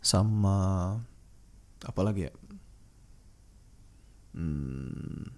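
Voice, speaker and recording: A man's voice: sits low, animated, drawn-out words, darkish tone. A man hosting a solo podcast, talking in a casual, slangy, rambling way and thinking aloud with hesitations.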